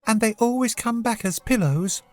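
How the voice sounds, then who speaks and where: A woman's voice: fairly high pitch, moderate pace, darkish tone, voice pushed up, close to an everyday voice. A woman voicing a polite young girl in a children's story, a little puzzled and eager to help. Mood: curious, willing. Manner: polite, questioning.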